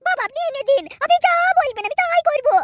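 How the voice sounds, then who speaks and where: An actor voicing a scheming, lustful middle-aged village woman in a cartoon, with a shrill, squeaky voice and fast, pleading chatter. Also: lively intonation, no room sound. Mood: eager. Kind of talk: adult cartoon comedy acting. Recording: loud background noise.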